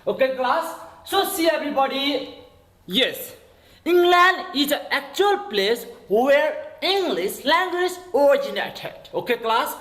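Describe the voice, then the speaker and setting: A man's voice: very high voice, fairly bright tone, roomy sound. A man with a Nepali accent teaching English in an online class, spelling words out letter by letter and checking again and again that students follow. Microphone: video call.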